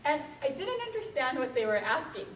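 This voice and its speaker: A woman's voice: sits high, sing-song, brisk, slight background noise. Woman speaker from Hawaii, casual lecture style, lots of fillers; recorded far from the mic in an echoey hall. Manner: conversational, frequent um fillers, asks audience questions. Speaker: author and speaker. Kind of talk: museum lecture to an audience. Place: museum event room.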